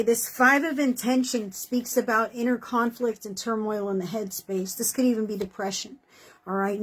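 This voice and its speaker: A woman reading tarot horoscopes in a casual, encouraging way, her voice worn and hoarse from doing many readings. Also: mid-range voice, poor audio. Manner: chatty, encouraging, many fillers like "you know" and "okay". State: losing her voice. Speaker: tarot reader.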